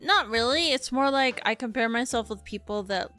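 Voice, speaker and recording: A woman's voice: a little high, moderate pace, pushed and bright. A woman chatting casually as a guest in a conversation. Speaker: VTuber, podcast guest. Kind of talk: casual chat. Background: background music.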